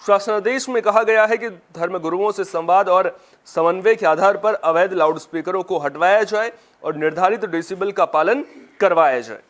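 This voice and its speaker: A male news anchor with a notably high-pitched voice reads from a script. His delivery is formal and presentational, in the style of a television bulletin. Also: moderate pace.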